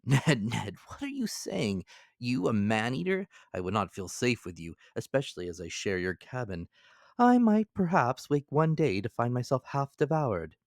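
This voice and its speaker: A man voicing a fussy, deferential manservant, excitable and prim, in a dramatic audiobook. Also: mid-range voice, normal speed, gravelly, dry recording, clean studio sound, voice pushed up. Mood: alarmed, indignant.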